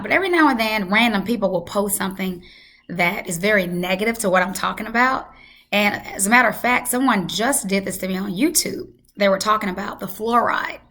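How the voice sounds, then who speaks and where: A woman hosting a health channel, hoarse from losing her voice, talking casually and emphatically to her viewers. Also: medium pitch, pushed and bright. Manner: emphatic, repeats for effect. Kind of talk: casual talk to camera.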